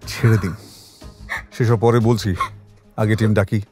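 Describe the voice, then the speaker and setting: An actor playing an urgent, commanding police detective with terse delivery in an audio drama. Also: male voice, on the low side, steady tone, measured pace, warm tone, soft background music, lightly acted. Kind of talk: Bengali audio drama acting.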